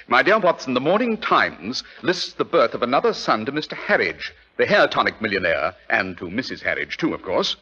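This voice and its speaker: Witty, condescending British gentleman sleuth, vintage radio drama; crisp forceful tone, hugely varied intonation, dull old recording. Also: mid-range voice, very animated, normal speed, a little hiss or hum. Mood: teasing. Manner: sardonic, theatrical, precise. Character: arrogant, witty, teasing middle-aged English gentleman detective. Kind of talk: 1940s radio drama acting.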